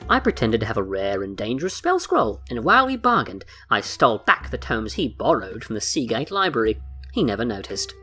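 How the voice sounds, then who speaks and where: A man acts out a sly fantasy rogue, a playful trickster who talks like a showman. His pitch leaps and dips dramatically, over a soft bed of music. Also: very animated, brisk, husky, heavily acted. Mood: boastful. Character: smirking male trickster rogue, playful card-game host. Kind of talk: acted audio fiction dialogue.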